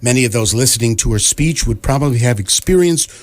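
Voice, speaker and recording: A man playing the wry, deadpan narrator of a science fiction radio comedy, reading scripted narration in a measured way. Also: mid-range voice, close to an everyday voice. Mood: dry, informative.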